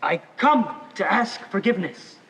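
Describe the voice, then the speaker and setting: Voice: mid-range voice, animated, normal speed, fairly bright tone, natural voice. A teenage male student acting on stage as a wheedling, sly showman down on his luck. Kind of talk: high school stage play acting. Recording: distant mic with clear background noise.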